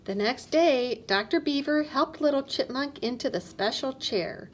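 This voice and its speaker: A woman narrating a children's storybook aloud in an expressive read-aloud style. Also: high voice, measured pace, a little hiss or hum. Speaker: storybook reader.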